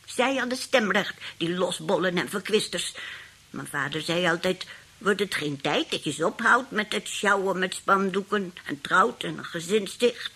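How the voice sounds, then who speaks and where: An actress playing a feisty, scheming old great-aunt in a radio play, husky and indignant, grumbling and outspoken. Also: female voice, on the low side, animated, moderate pace, a bit bright, heavily acted. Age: older.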